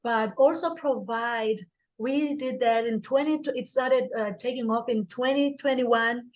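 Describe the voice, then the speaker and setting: High-voiced woman, earnest interview guest. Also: fairly even intonation, measured pace. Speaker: guest, life coach and author. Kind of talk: interview answer.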